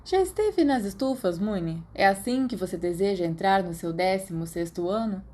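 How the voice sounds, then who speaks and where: A woman's voice: medium pitch, lively intonation, normal speed, a little mellow, natural voice. A Brazilian woman voicing a jaunty teenage schoolboy in an audiobook, playfully mock-scolding his friends. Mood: mock stern.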